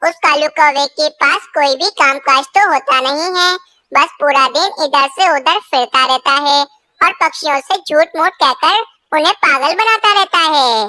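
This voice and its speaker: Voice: high-pitched, unhurried, bright, forceful tone, no echo. A performer voicing a sensible, good-natured male pigeon with a childlike voice, reassuring and plain-spoken, in a children's cartoon. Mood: knowing. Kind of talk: children's animated story dialogue.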